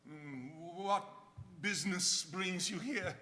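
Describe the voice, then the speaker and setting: A man's voice: high-pitched, takes it slowly, background noise. A man playing a miserly, nervy old man in live radio theater, theatrical and hesitant, given to muttering. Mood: fearful, bewildered. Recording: reverberant live theater hall.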